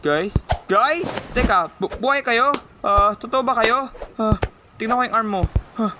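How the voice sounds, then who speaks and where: A man's voice: extremely high pitch, measured pace, background noise. A man voicing a friendly, affectionate young stick figure in an amateur animation dub, casual and easily surprised. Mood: astonished, affectionate. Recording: loud music behind the voice.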